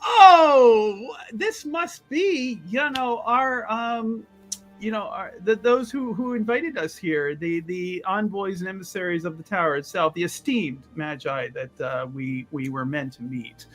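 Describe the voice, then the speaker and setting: A man playing an indignant, self-important scholar who makes fussy, halting, officious complaints in a tabletop game. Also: high-pitched, a bit bright, faint music behind, voice pushed up, rough recording. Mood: grand, aggrieved.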